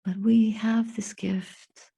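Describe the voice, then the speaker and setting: A woman giving a guided meditation and reflection as a Buddhist teacher, speaking softly and breathily in measured, contemplative phrases with long pauses. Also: mid-range voice, flat delivery. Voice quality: soft, breathy.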